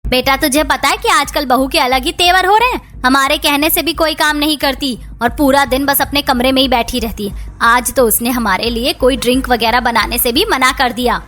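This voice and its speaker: A woman's voice: sits high, normal speed, hardly any pauses, a bit bright. A woman voicing the women of a household in a Hindi moral-story cartoon, over music. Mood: complaining. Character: women of a quarrelling household: daughter-in-law, mother-in-law, sister-in-law. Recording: music bed behind the voice.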